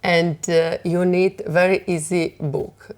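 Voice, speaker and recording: A Slovak woman, a fashion designer and author, speaking English as a second language with a Slovak accent, pausing often with many fillers in a community TV interview. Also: fairly low pitch, flat delivery, darkish tone, clear, resonant tone. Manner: halting, many fillers and pauses, simple English.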